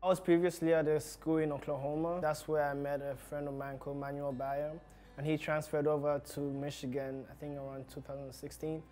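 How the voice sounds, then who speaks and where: A young man from Zambia with a slightly high voice, talking casually and steadily with lots of "like" fillers. Faint music plays underneath. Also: little pitch movement, a little fast, a little mellow. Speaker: soccer player. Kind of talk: documentary interview.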